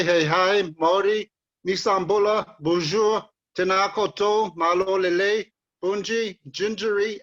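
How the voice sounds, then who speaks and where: A man, a board member, giving a measured, formal welcome address over a video call. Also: high voice, a bit bright, lo-fi sound. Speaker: board member opening the seminar.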